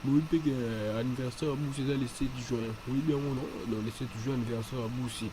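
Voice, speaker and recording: A man's voice: mid-range voice, fairly even intonation, fast talker, noisy background, lightly acted. A man with a Congolese accent playing a chatty, streetwise cartoon character. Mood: insistent. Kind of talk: animated cartoon dialogue in Lingala and French.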